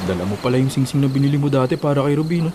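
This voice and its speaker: A man's voice: medium pitch, very fast, noisy background, lightly acted. A man playing a blunt, exasperated but loyal buddy in a colloquial Filipino radio drama. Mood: casual. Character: exasperated loyal male buddy urging friend to give up. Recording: loud music bed behind dialogue.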